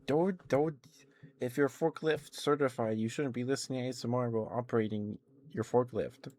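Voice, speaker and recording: A man hosting an ASMR livestream, speaking softly and intimately and slipping into whispers. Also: medium pitch, steady tone, normal speed.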